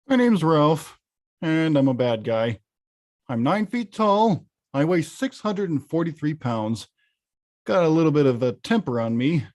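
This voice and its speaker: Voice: medium pitch, sing-song, a little slow, a little mellow. An actor playing a huge, hot-tempered, weary arcade-game villain who confides wryly and grumbles conversationally in a table read. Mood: wry, confessional. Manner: conversational, grumbling, bursts into bellows. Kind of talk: table-read acting, confessional voice-over.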